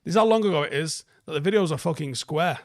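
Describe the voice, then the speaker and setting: Northern english bloke, soft manc accent, bit of gravel, dry sound, reading out chat comments and swearing loads. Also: male voice, fairly high pitch, animated, fast talker, dry recording. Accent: northern English, softened Manchester. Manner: sweary, blunt, informal. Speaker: livestream host, YouTuber. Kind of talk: casual chat, answering viewer comments.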